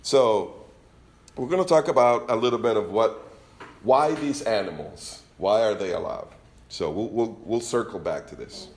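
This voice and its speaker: A man's voice: on the low side, normal speed, darkish tone, echoey room, slight background noise. Venezuelan-accented man teaching scripture. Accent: Venezuelan Spanish. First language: Spanish. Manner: conversational, joking, reads scripture aloud. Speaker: congregation teacher. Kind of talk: informal sermon teaching.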